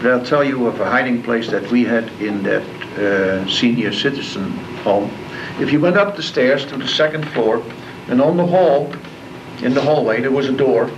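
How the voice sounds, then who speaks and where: An older man with a Dutch accent recounts his memories to students in a plain, measured way, pausing and restarting now and then. He sits away from the mic on a dull, lo-fi tape with a clear hiss and a hum behind him. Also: mid-range voice, recorded from a distance. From Netherlands. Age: 70s. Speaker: guest speaker. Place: school classroom.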